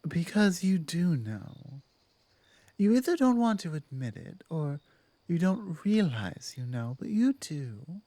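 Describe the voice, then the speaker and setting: A woman voicing a dominant but softening werewolf pack leader in an intimate ASMR roleplay, speaking in measured, deliberate phrases with weighty pauses. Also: fairly low pitch, big pitch swings, dry recording, full character voice. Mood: probing, gentle. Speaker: audio roleplay performer.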